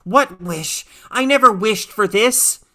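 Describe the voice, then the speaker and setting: A woman's voice: mid-range voice, slow, bright, forceful tone, pitched above the performer's own voice. A woman voicing a frightened, bewildered teenage girl in a story reading, urgent and questioning. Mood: terrified, bewildered.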